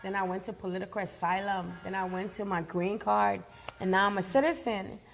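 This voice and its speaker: A woman's voice: mid-range voice, fairly even intonation, a little fast, gravelly, faint music behind. Earnest woman from Liberia, emphatic. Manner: earnest, repeats herself for emphasis. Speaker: guest. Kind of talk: casual chat.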